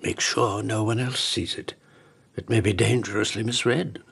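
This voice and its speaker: Husky, gloomy, wary courtier. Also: male voice, on the low side, fairly even intonation, moderate pace, fairly bright tone, no echo, heavily acted. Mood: anxious, warning. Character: gloomy, wary older lord of a Japanese court. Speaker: actor in a radio drama. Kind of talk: BBC radio drama acting.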